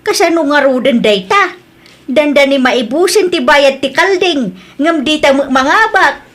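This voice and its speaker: High-voiced nagging older wife played by a woman. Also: lively intonation, a little slow, a bit bright. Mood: worried. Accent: northern Philippine. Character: older nagging wife, hopeful fellow gambler. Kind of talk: audio drama dialogue.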